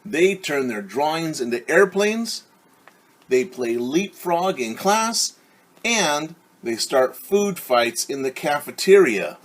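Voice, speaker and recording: Man playing a stern, husky school principal. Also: fairly high pitch, very animated, slow, voice pushed up, exaggerated voice. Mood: stern, scolding. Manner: firm, scolding.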